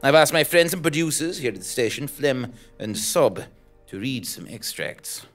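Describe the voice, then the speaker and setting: Man acting self-important male journalist, dramatic podcast narration, medium pitch, music underneath. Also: animated, normal speed, lightly acted. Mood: grandly earnest. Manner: pompous, dramatic. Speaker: narrator and lead actor. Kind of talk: scripted investigative podcast parody. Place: small theatre stage, live show.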